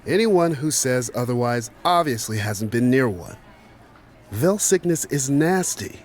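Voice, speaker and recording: A man's voice: medium pitch, lively intonation, unhurried, natural voice. A man acting as a blunt, wary manager in an audio drama, speaking emphatically. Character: blunt, wary male manager, fearful of mutants. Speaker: general manager.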